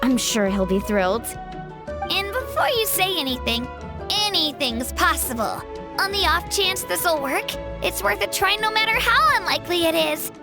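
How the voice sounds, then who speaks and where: Polite hopeful young girl, very high voice, game cutscene, music underneath. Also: big pitch swings, normal speed, full character voice. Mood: earnest.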